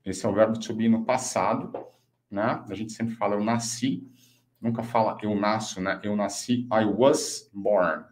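A man in his fifties with a Brazilian accent, a native Portuguese speaker, teaching an online English lesson and slowly enunciating example phrases. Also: fairly low pitch, fairly even intonation. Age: 50s. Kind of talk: online language lesson, explaining and modelling phrases.

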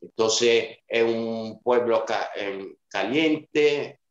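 Slow, hesitant Italian man on video call. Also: medium pitch. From Sicily, Italy. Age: 50s. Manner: hesitant, many fillers and restarts. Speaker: interview guest, club director. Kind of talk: casual interview answers. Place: small room at home. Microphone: video call webcam. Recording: roomy, dull video call audio.

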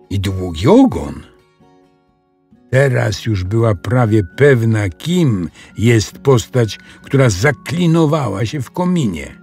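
A man narrating a children's audiobook in an expressive storytelling style. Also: medium pitch, slightly rough.

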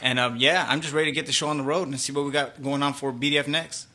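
Earnest man with a sharp voice. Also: a little high, steady tone, fast. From Orange County, California. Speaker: podcast guest. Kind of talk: casual self-introduction.

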